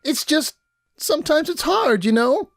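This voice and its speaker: A man's voice: extremely high pitch, big pitch swings, unhurried, gravelly, dry recording, pitched above the performer's own voice, exaggerated voice. A man voicing a childlike, wistful teenage girl with an earnest, wavering manner in a story reading. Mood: earnest, sad.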